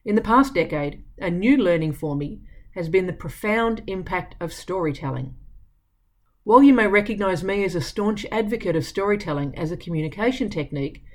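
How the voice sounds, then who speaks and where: A woman columnist narrating her own written piece in a scripted reading style. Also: mid-range voice.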